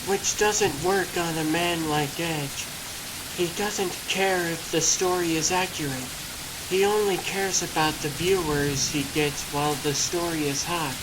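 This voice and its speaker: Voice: medium pitch, steady tone, a little slow, background noise, natural voice. A performer voicing a dry, skeptical, probing female newspaper reporter in a comic-book dub. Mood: dry skepticism.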